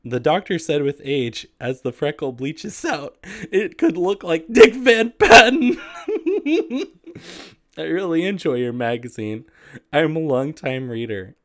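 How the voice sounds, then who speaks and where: A man's voice: fairly low pitch, dry recording. A man casually reading comic excerpts aloud and commenting on them, with a giggly manner that often breaks into laughter.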